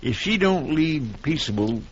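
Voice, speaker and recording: A man's voice: fairly high pitch, lively intonation, measured pace, a little hiss or hum. A man playing an earnest, loyal marshal's helper in a 1950s radio western, deferential, with folksy country speech. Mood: curious, deferential. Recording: muffled old 1950s radio recording.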